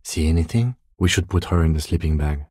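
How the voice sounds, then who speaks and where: An actor playing a composed, dry police lieutenant in a video game, speaking softly in a measured, restrained deadpan with a slightly husky voice. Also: male voice, extremely low pitch, no room sound. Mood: calm, dry. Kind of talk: video game dialogue acting.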